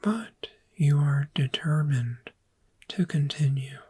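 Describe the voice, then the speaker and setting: A woman playing a calm, gentle dragon therapist in a soft-spoken ASMR roleplay, speaking in a breathy, soothing whisper with long pauses. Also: sits low, full character voice. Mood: soothing, hypnotic.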